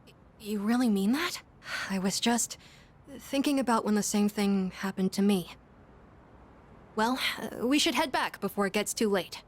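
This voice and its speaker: A woman playing an earnest, gentle young schoolboy in a video game, speaking politely and thoughtfully with some hesitation. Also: mid-range voice, normal speed, long pauses, lightly acted. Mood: hesitant, reflective. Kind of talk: English video game voice acting.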